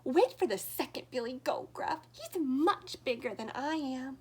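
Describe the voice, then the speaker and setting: A woman's voice: high-pitched, animated, measured pace, dark tone, husky, full character voice. A woman voicing the smallest billy goat, a tiny, scared male goat pleading in a teeny voice.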